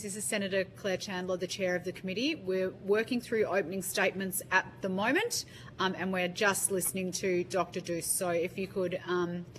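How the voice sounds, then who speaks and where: A woman's voice: mid-range voice, a bit bright, slight background noise, low-quality recording. A woman chairing a parliamentary committee hearing, polite and procedural.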